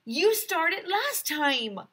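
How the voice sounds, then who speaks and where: A woman voicing excitable, squabbling young schoolchildren in a storybook, whiny and insistent. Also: extremely high pitch, drawn-out words, pitched above the performer's own voice, full character voice. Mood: whiny arguing.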